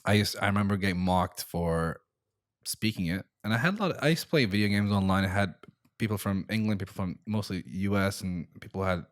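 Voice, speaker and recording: A man's voice: low-pitched, a little fast, dry recording, studio quality. A young man from the Middle East with an American accent, hosting a casual podcast chat and laughing often as he trails off mid-sentence. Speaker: podcast host.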